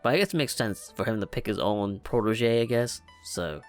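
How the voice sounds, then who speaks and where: A man's voice: fairly low pitch, lively intonation, normal speed, faint music behind. A young man giving casual let's play commentary in a joking, slangy, informal way. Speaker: gaming reaction YouTuber.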